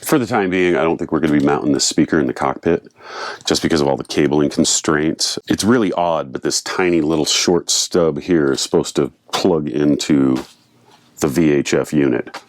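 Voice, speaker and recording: A man's voice: a bass voice, normal speed. An American man in his fifties explaining a hands-on job in a casual vlog style, informally thinking aloud, recorded on a clip-on mic in a small boat cabin. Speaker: boat owner presenting a DIY installation. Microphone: clip-on lavalier on the chest.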